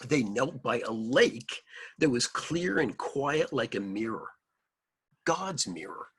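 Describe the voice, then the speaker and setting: A man reading a picture book aloud to children, adding simple explanatory asides in an expressive manner. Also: mid-range voice, slow, slight background noise.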